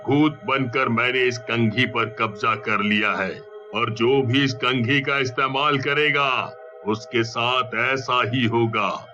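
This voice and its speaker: Guy doing a bitter cartoon ghost, slow menacing talk, sharp edge, kinda muffled audio. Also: mid-range voice, soft background music. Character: vengeful, self-pitying bald man's ghost haunting a comb. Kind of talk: acted cartoon horror story.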